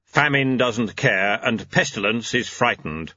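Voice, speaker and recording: Guy as grim reaper type, very slow n solemn, deadpan, dry close sound, storybook. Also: mid-range voice, dry recording, lo-fi sound.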